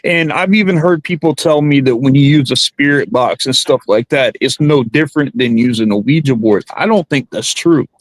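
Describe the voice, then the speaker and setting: A man from the South Carolina Low Country, hosting a podcast and chatting casually with many restarts. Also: normal speed, few pauses, no room sound, poor audio. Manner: rambling with many restarts and fillers. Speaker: podcast host. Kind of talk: casual chat.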